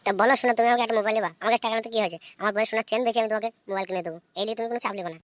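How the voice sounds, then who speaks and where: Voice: medium pitch, racing, dry recording, close to an everyday voice. A performer voicing a lovestruck, lecherous young village man in a comic cartoon, wheedling and sweet-talking. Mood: eager, concerned. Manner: wheedling, cajoling. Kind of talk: comic Bengali cartoon voice acting.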